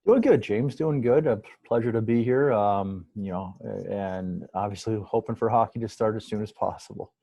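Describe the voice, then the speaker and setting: A man's voice: on the low side, moderate pace, hardly any pauses, warm tone, dry recording. An American man from Metro Detroit talking casually as a guest in a podcast interview. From Metro Detroit, USA. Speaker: podcast guest, sports broadcaster.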